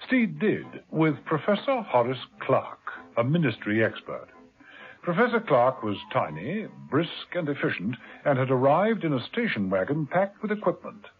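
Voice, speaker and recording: A man narrating a 1970s radio drama in a dramatic, measured storytelling manner. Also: medium pitch, very animated. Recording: tinny old radio broadcast with music.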